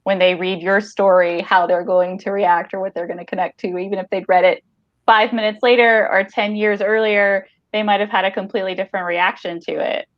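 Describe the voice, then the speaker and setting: Woman, mid-pitched voice, casual book talk, podcast co-host, conversational, dull close recording. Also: steady tone, a little fast, dry recording. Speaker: podcast co-host discussing a short story.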